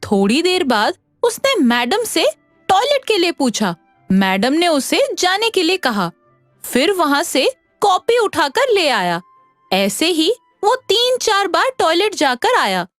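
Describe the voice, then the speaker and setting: A woman reading scripted narration for an animated children's story. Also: very high-pitched, slow, no room sound, soft background music.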